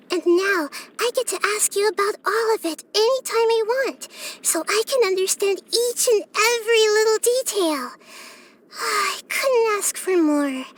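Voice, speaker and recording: A woman voicing a wise, gentle, curious child-like goddess in a video game, with a light youthful voice and earnest, thoughtful phrasing. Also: extremely high pitch. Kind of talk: video game character voice lines.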